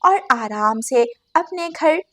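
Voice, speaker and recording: A woman's voice: high-pitched, lively intonation, slow pace, very noisy. A woman narrating a scripted cartoon story.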